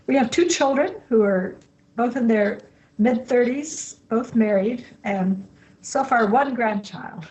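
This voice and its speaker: A woman in her sixties who grew up in Brazil, a missionary Bible teacher answering interview questions in a calm, measured way. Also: on the high side, low-quality recording.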